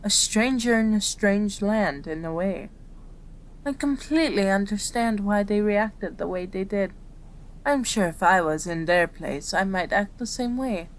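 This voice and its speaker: A woman voicing a gentle, caring fox-spirit doctor in an ASMR roleplay, speaking reassuringly to a patient. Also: medium pitch, unhurried, slight background noise, close to an everyday voice, poor audio. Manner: soft-spoken, reassuring, addressing a patient directly.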